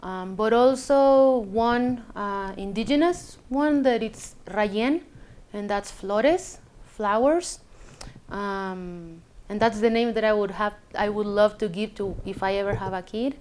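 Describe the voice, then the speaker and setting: A woman's voice: mid-range voice, slow, darkish tone, smooth. A woman from Chile, a native Spanish speaker with a Chilean Spanish accent, talking casually and reflectively about her own life, sometimes hesitating to search for English words. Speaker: interviewee telling a personal story. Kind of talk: casual reflective personal talk.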